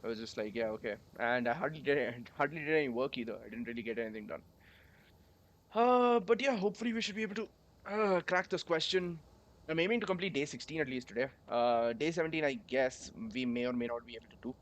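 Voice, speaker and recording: A man's voice: medium pitch, expressive, quick pace. A young man with a sore throat from a cold, chatting casually and rambling while coding on a livestream. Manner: rambling, chatty, many fillers.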